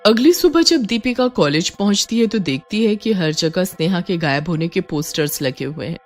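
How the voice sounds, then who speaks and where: A woman's voice: on the low side, lively intonation, normal speed, faint music behind. A woman reading scripted horror story narration as the narrator.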